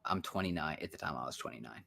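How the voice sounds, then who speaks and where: A man's voice: extremely low pitch, expressive, fast, creaky, pushed and bright. A man in his thirties in a casual voice chat, rambling and frank, swearing often. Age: 30s.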